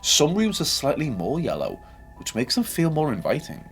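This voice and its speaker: A man's voice: fairly high pitch, wildly swooping pitch, moderate pace, a bit bright. A man narrating a scripted horror game video essay with a dramatic, storytelling delivery, posing rhetorical questions. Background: background music.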